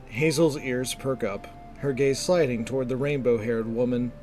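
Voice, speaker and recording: A man narrating a scripted fantasy story in a measured, descriptive storytelling manner. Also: medium pitch, darkish tone. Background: music.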